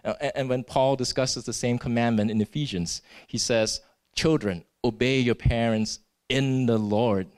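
A man's voice: medium pitch, steady tone, normal speed, darkish tone. A man from Singapore preaching a sermon in an earnest way. He often tags his points with "right?" and restarts his words.

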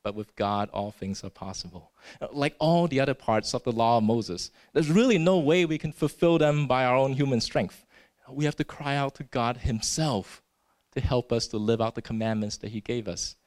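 Male preacher from Singapore, mid-pitched, earnest, checks in with "right?" and stumbles over restarts. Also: moderate pace, darkish tone. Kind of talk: sermon.

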